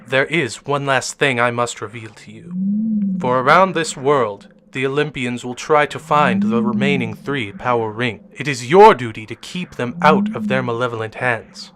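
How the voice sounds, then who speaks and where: A man playing a mysterious, manipulative professor in an audio drama, speaking in formal, measured, grandiose lines. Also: mid-range voice, background noise, lightly acted. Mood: solemn, grand. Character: mysterious, manipulative professor mentor with hidden menace.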